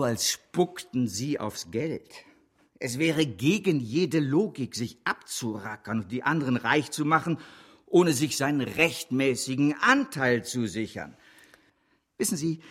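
A man's voice: mid-range voice, fairly bright tone, breathy edge, full character voice. An actor playing a grandiose, scheming bank director in a German radio drama, bombastic and impatient as he persuades.

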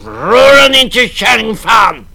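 A man reading a story aloud, voicing a gang of drunk, rowdy male railway laborers who shout threats. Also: extremely high pitch, animated, gravelly, crowd noise, pitched above the performer's own voice, heavily acted. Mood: threatening.

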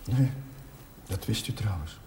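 A troubled young-church cleric, a curate in a seventies TV drama. His voice is low and mellow. He talks with subdued hesitation in an echoing room. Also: animated, unhurried. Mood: concerned. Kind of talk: naturalistic television drama acting. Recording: echoey, distant, faintly noisy 1970s television soundtrack.

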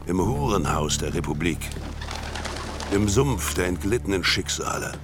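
A man's voice: deep voice, animated, a little slow. An actor playing a cynical, worldly male narrator in a radio drama, speaking in a sardonic, knowing way. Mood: brooding. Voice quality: gravelly, sardonic. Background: music.

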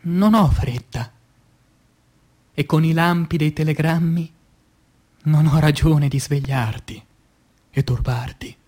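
A man with a slightly high voice recites verse for radio theatre at a very unhurried pace. His delivery is solemn and declamatory, with halting, deliberate pauses between short phrases. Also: a little mellow. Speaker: actor reciting poetry. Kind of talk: slow dramatic poetry recitation for radio theatre.

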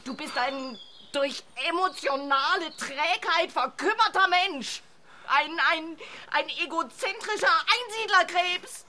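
A woman's voice: extremely high pitch, bright, forceful tone, a little hiss or hum, heavily acted. An actress playing an indignant, idealistic woman who talks accusingly and emphatically in a German radio play. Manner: accusing, emphatic, halting with outrage. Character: indignant, idealistic woman, reproachful and easily outraged.

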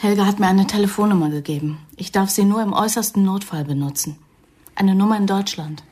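A woman's voice: mid-range voice, a little fast, a little mellow, natural voice. An actress in a radio drama playing a defiant, weary young female undercover agent with a pointed, emotional way of talking. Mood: probing.

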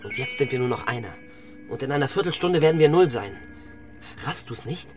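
An actor playing a defiant but insecure young petty thief, sullen and slangy, in a German crime radio play. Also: male voice, medium pitch, expressive, fairly quick, music in the background, natural voice. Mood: bitter urgency.

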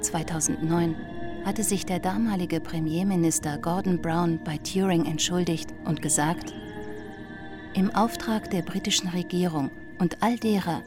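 A woman narrator reading scripted documentary passages for a radio drama in a factual, measured way. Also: on the low side. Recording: music under the voice.

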